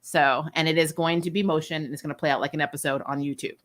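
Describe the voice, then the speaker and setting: A woman hosting a casual livestream review, chatting with viewers in an animated, emphatic way and repeating phrases for effect. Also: low-pitched, quick pace. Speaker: host of a comic and TV review channel. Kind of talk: casual livestream chat, answering viewer questions.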